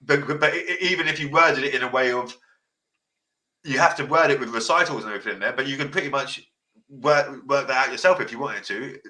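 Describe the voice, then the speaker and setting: A man hosting a podcast, giving blunt advice in casual chat with a deep voice, swearing freely and repeating himself. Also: fast talker, low-quality recording. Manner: passionate, sounds like shouting. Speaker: podcast host.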